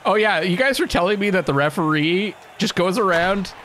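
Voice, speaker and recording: A man's voice: high voice, moderate pace, a little hiss or hum. A Canadian man commentating as he plays a hockey video game, thinking aloud and yelping at the plays. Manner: excitable, thinks aloud, laughs and yelps at plays. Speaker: gaming channel host. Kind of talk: let's play commentary. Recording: game music playing behind.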